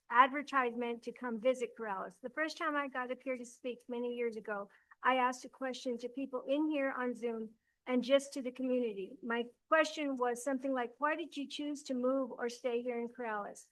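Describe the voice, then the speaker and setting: An older woman giving a public comment at a village council meeting, earnest and halting, stopping to correct herself. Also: fairly high pitch, fairly bright tone, low-quality recording. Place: council chamber.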